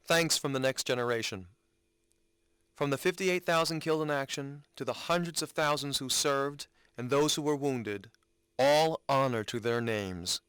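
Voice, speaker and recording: A man giving a dramatic reading of written commentary as an earnest figure, solemn and deliberate. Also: medium pitch, a bit bright, clear, resonant tone, no echo, clean studio sound, close to an everyday voice. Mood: solemn gratitude. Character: earnest man honoring veterans, solemn and aggrieved.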